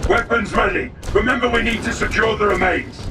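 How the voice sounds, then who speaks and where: A man's voice: sits high, brisk, lightly acted. An actor playing a fierce, zealous space marine in a video game, declaiming commands to his battle brothers. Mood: fierce rallying. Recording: distant voice under loud music.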